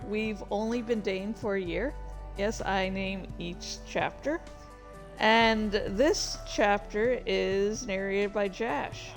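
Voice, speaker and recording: Woman, unhurried pace, halting and self-deprecating, casual livestream chat, faint music behind. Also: mid-range voice. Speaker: streamer and fan fiction author.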